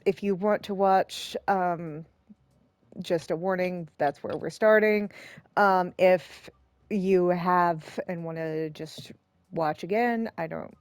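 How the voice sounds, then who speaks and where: A woman's voice: on the low side, darkish tone, clear, resonant tone, no room sound, clean studio sound. A woman streamer giving casual let's play commentary in a rambling, hesitant, self-deprecating way. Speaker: game streamer, host.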